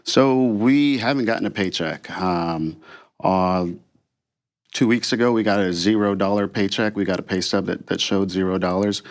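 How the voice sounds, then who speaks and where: A man speaking as an air traffic controller and union official, giving halting, earnest, unscripted answers in an interview. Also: fairly low pitch.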